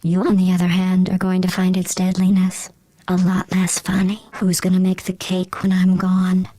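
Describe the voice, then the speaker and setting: A woman voicing a cold, murderous, sarcastic artificial intelligence in a video game, deadpan, with a synthetic voice processed flat and robotic. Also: normal speed, dry recording. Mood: deadpan menace. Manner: monotone, deadpan, menacing. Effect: robotic pitch-flattened voice processing. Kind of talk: scripted video game villain monologue.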